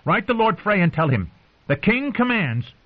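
A petulant young king, pitched high and swooping with insistence. He is spoiled and cruel, whining out his demands in an audiobook fantasy tale. The sound is slightly dull. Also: very animated, moderate pace. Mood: spiteful demanding.

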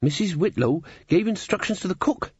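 A man's voice: high voice, big pitch swings, normal speed, darkish tone, breathy edge, muffled recording, pitched above the performer's own voice, full character voice. A man voicing a nervous young serving maid, timid and deferential, in a storybook reading.

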